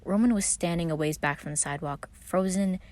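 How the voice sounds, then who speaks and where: A woman reading fan fiction aloud as an expressive scripted story narration. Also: lowish voice, fairly quick.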